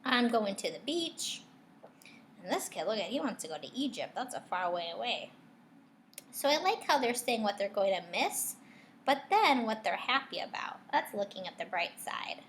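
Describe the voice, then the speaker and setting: A woman teacher reading a picture book aloud to children, expressively, pausing to ask her young listeners guiding questions. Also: mid-range voice, very animated, a little hiss or hum.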